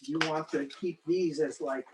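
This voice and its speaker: A man's voice: a little high, steady tone, normal speed. New guy showing stuff off, jokey and chatty, bit of noise and clicks. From New York. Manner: playful, jokey showman, exclaims often. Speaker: host, film collector and presenter. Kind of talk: casual unboxing chat and explanation. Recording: clicky, faintly noisy live room recording.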